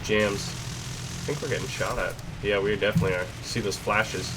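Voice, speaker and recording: A young man with a cold talks through his gameplay in a chatty, self-mocking way, his voice congested and fairly low. He clears his throat often. A faint drone of game engines sits behind him. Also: fairly even intonation, moderate pace, background noise. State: stuffed up with a cold. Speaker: gaming channel host. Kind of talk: casual gameplay commentary.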